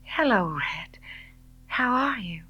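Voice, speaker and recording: Woman playing a headstrong young widow, higher, animated. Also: takes it slowly, breathy edge, no echo, highs cut off. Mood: breathless longing. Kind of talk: audiobook character dialogue.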